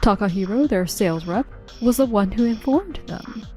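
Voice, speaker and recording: Mellow businesslike office woman, acted. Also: medium pitch, lively intonation, moderate pace, soft background music, close to an everyday voice. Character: businesslike female office colleague reporting problems. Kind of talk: scripted manga dub voice acting.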